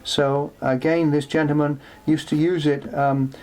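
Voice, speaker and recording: A man presenting an unscripted show-and-tell in a workshop, speaking haltingly as he thinks aloud. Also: fairly high pitch, little pitch movement, darkish tone. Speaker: presenter showing vintage electronics for restoration. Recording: clearly noisy, with background hum.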